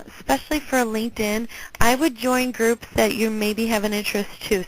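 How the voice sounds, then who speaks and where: A woman giving an informal instructional presentation in a conversational, directive way, often checking in with her listeners. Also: mid-range voice. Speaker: presenter, alumni relations career staffer. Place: group conference call. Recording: clearly noisy conference call with clicks.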